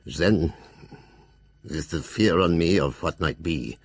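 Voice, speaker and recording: An old vampire-hunting professor in a gothic audio drama. His voice is extremely low and badly rasped. He narrates his journal slowly and gravely, full of dread. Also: no echo, exaggerated voice. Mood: solemn, fearful. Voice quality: gravelly, husky.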